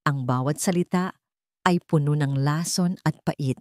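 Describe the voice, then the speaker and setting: Female Filipino narrator for dramatic romance fiction, low register, close dry sound. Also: measured pace, a little mellow, dry recording. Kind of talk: dramatic scripted storytelling, radio drama style.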